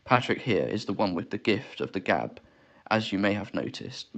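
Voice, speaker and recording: A young English bushranger, grave and aggrieved, as an audiobook narrator puts him on. His voice sits very low with a gravelly edge. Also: sing-song, moderate pace, a bit bright, exaggerated voice. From England. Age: 20s.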